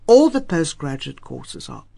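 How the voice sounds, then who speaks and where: A woman's voice: low voice, unhurried, creaky. A South African woman, an Afrikaans writer, speaking firmly and emphatically as an interview guest. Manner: emphatic, lively, firm opinions. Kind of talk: radio interview.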